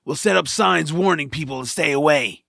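Blunt grumbling creature, very gravelly, high-pitched, animated, audio drama. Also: male voice, moderate pace, no echo, studio quality. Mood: threatening. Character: grumpy nap-loving male swamp creature, gruff reluctant rescuer.

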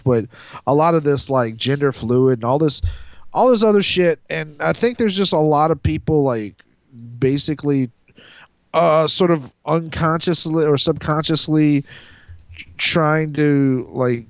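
A man co-hosting a podcast, talking in a halting, rambling, profane way with crude opinions. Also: fairly high pitch, clear, resonant tone, dry recording. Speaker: podcast co-host. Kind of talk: casual, crude opinionated group banter.

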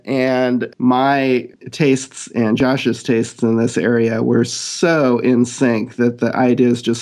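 Man with a slightly creaky voice. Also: mid-range voice, darkish tone. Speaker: comic book artist, guest. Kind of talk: casual panel interview.

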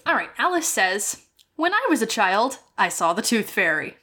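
A young woman co-hosting a podcast in casual, playful chat, quick to laugh and poke fun at herself. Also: medium pitch, very animated, pushed and bright, husky. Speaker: podcast co-host.

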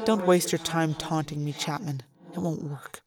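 A woman voicing a young male alien cadet who speaks quietly and wearily, full of guilt. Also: medium pitch, fairly quick, soft background music, lightly acted. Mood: weary. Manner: quiet, weary, subdued.

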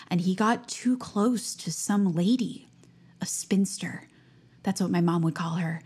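A woman playing a zealous, nosy high school senior in an audio drama, pushy and earnest in a self-righteous way. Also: warm tone, husky, lightly acted. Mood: slyly threatening.

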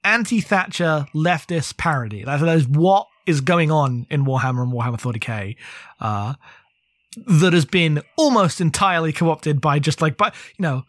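A podcast co-host talking casually and informally about a book, animated and given to swearing. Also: medium pitch, moderate pace, no room sound.

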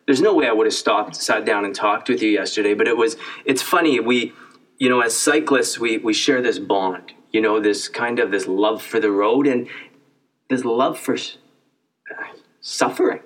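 A man's voice: lowish voice, normal speed, fairly bright tone, recorded from a distance, rough recording. A middle-aged man from Canada hosting a casual sit-down interview, asking earnest, searching questions and often repeating words as he builds them. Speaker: host.

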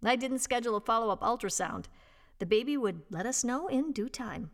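High-voiced woman reading aloud. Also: animated, brisk. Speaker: volunteer reader and host of an audio reading service. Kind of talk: reading a personal essay aloud, scripted narration.

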